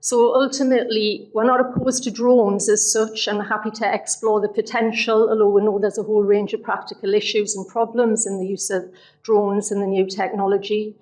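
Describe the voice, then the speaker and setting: Woman councillor, level monotone, slightly strained voice; council chamber address. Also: mid-range voice. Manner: measured, steady delivery with frequent ums. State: losing her voice. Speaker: councillor moving an amendment. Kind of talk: council meeting speech.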